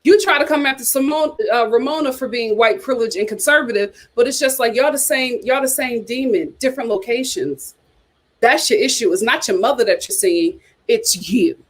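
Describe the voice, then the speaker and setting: High-voiced, animated woman host chatting. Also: normal speed. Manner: animated, gossipy, opinionated, blunt; repeats phrases for emphasis. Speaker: host of a reality TV chat show. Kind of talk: casual live chat commentary, reacting to viewer comments.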